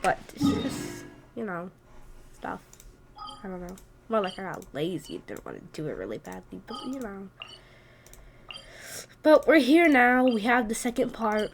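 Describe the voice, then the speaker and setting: A woman's voice: medium pitch, big pitch swings, measured pace, gravelly, long pauses. A woman giving offhand, casual let's-play commentary on a video game. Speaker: gaming video creator. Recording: loud game music behind. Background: loud game music.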